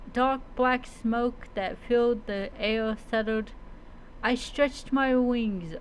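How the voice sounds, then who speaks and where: A woman's voice: mid-range voice, slight background noise, natural voice, poor audio. A woman voicing a solemn old male dragon protector who takes human form, telling his tale dramatically in a fantasy roleplay.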